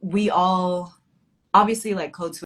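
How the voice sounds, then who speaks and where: A woman's voice: fairly low pitch, steady tone, takes it slowly, a bit bright. A bilingual Arabic and English speaking woman talking as a guest poet, reflective and informal, with wry humor in a casual literary chat.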